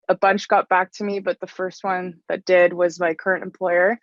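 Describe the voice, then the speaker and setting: A woman's voice: medium pitch, no echo, muffled recording. A young woman from Canada, talking casually about her trade in an interview, full of "like" fillers. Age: young adult. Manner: chatty, frequent "like" fillers. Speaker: interview guest, stone mason.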